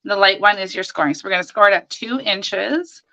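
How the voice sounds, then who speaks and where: A hoarse woman hosting a live crafting stream, chatting and greeting viewers by name as she works. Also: mid-range voice, a little fast, sharp tone, low-quality recording. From Canada.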